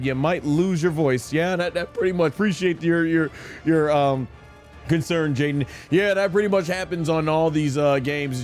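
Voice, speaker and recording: A man giving casual, enthusiastic gameplay commentary as a live streamer, gushing as he talks. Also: a little high.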